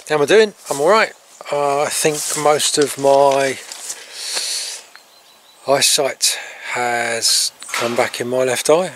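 A middle-aged man in a casual, rambling vlog, talking haltingly outdoors on a farm into a handheld camera. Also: medium pitch, slight background noise. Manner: halting, breaking off to talk to animals. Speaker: farm vlogger. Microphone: handheld camera at arm's length.

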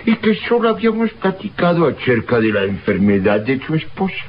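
A man's voice: mid-range voice, very animated, a little fast, close to an everyday voice. An actor playing a deferential, nervous village man, hesitant and repeating himself, in an old radio drama. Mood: respectful unease. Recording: dull noisy old radio recording.

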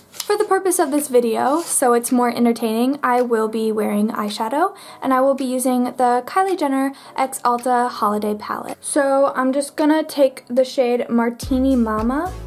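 Teenage girl, beauty vlog, high pitched, chatty, slight cold, faint music. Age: teens. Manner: chatty, bubbly, lots of "like". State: getting over a cold. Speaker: beauty vlogger. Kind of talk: makeup tutorial chat. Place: bedroom vanity, indoors.

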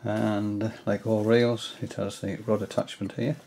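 An older man at home giving a hesitant, casual tutorial, often pausing with fillers as he shows his reels. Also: fairly low pitch, flat delivery, creaky, mellow. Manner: hesitant, many fillers and restarts. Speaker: angling hobbyist giving a tutorial. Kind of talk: casual explanatory demonstration. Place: indoors, small room at home.

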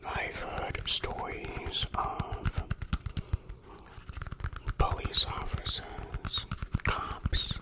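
Hushed, slow ASMR whisperer. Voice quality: whispered, breathy. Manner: hushed, confiding, deliberate. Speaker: ASMR creator playing a shady dealer. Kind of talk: ASMR roleplay.

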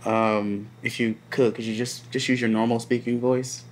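A man's voice: medium pitch, flat delivery, normal speed, a little hiss or hum, natural voice. An American man in his thirties playing a blunt, earnest graduate teaching assistant instructing a class in a casual, plain-spoken way. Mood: blunt, hesitant. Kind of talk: classroom instruction, acted dramatization. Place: classroom lab, indoors.

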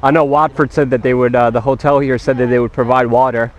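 A man's voice: mid-range voice, steady tone, quick pace. A man interviewing casually for an outdoor vlog, informal and appreciative. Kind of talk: casual chat. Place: outdoors in a park. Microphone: behind a handheld camera. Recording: dull sound, outdoor crowd noise.

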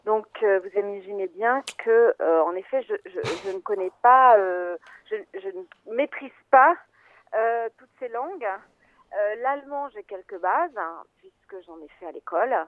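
A woman's voice: mid-range voice, expressive, unhurried. A woman, a film editor being interviewed, explaining her craft in a hesitant, thoughtful way with many fillers and restarts, heard over a phone line.

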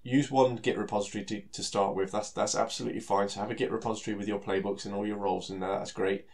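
A man's voice: fairly low pitch, fast talker, gravelly. Stuffy-nosed British man with a cold. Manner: relaxed, many fillers and repeated words. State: has a cold, nasal and stuffy. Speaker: solution architect giving a technical talk. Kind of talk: conversational technical presentation.

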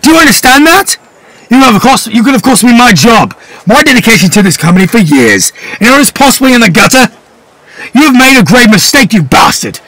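A man reading aloud, voicing a stern middle-aged father, once a sailor, who pleads and then shouts in rage. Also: medium pitch, very animated, moderate pace, slightly rough, no echo, low-quality recording. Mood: enraged.

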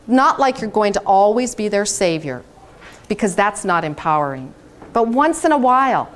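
Animated woman in her fifties telling stories on stage. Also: mid-range voice. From United States. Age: 50s. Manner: animated, dramatic, gesturing on stage. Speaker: keynote speaker. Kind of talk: storytelling keynote speech. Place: hotel conference room, indoors.

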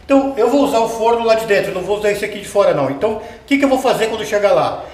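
A man's voice: high-pitched, expressive, racing, a little mellow. A middle-aged man demonstrating a recipe step by step in his home kitchen, explaining patiently and checking in with the viewer as he works. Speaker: home cooking show host. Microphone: camera mounted overhead. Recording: echoey kitchen with light background noise.

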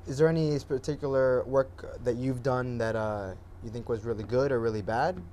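A man hosting a film program, asking questions in a casual, halting, informal way. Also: medium pitch, steady tone, a little mellow, a little hiss or hum. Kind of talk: casual interview.

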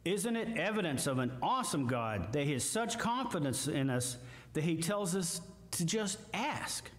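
An older man with a Midwestern American accent, a guest preacher giving a slow, emphatic sermon with scripture readings from a church lectern. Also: fairly high pitch. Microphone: lectern mic, far camera. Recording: echoey church hall from far off.

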